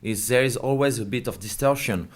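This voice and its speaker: A man's voice: medium pitch, fairly quick. Man explaining a product. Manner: hesitant non-native English phrasing. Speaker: company representative being interviewed.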